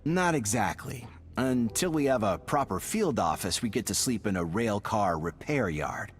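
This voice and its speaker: A man's voice: lowish voice, lively intonation, moderate pace, close to an everyday voice. A man playing a dry, wisecracking intelligence officer of a tactical squad in a video game. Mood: businesslike. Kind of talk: scripted game dialogue. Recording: music behind the voice.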